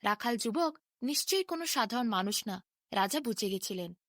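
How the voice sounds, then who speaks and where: A woman's voice: fairly high pitch, lively intonation, normal speed, no echo, studio quality. A woman narrating a scripted bedtime fairy tale in a dramatic storytelling manner.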